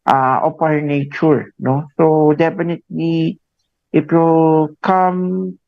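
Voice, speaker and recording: A Filipino man with a gravelly, fairly high voice, hosting a radio talk show. He speaks slowly and haltingly, tags "no?" onto his phrases, and sounds dull and lo-fi over a dry broadcast line. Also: little pitch movement, dry recording. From Philippines. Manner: halting, many fillers, tag "no?" after phrases. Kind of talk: radio interview.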